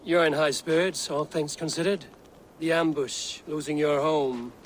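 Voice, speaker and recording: A man performs a steady Viking warrior hero from a video game. His voice is a little high and composed, with terse phrasing over faint ambience. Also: measured pace, a little hiss or hum, close to an everyday voice. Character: steady, loyal male Viking raider hero. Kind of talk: dramatic video game acting.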